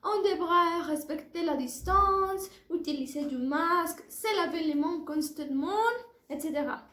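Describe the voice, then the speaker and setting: A woman's voice: very high voice, measured pace, pushed and bright. A woman in her early twenties with a Mexican accent, a native Spanish speaker giving a careful, formal prepared oral presentation as a language student. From Puebla, Mexico. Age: early 20s. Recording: echoey room sound.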